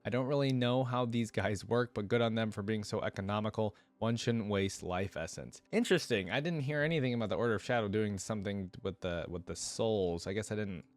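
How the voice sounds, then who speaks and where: A man's voice: on the low side, fairly quick, few pauses. A man hosting a reaction video, reading a story aloud and adding casual, slangy asides.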